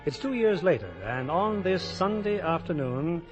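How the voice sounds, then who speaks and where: Male American host for vintage radio: formal, declamatory, slightly high and husky, music behind. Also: animated, moderate pace, dull audio. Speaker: radio show host and producer. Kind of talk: 1950s radio presenting, scripted narration. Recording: old radio broadcast with music.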